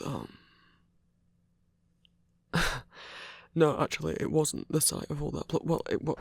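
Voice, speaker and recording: A man voicing a gruff but caring male werewolf lover in an ASMR romance roleplay, with a British accent, a raspy half-whisper and a casual, coaxing manner. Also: a little high, a little mellow, dry recording, studio quality, exaggerated voice. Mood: explaining, hesitant. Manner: casual, coaxing, hesitant pauses.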